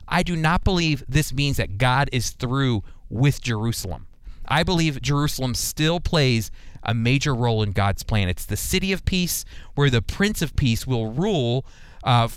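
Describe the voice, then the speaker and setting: Clear-voiced American male Bible teacher. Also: a little high, dry recording. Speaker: radio host and Bible teacher. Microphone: close studio microphone.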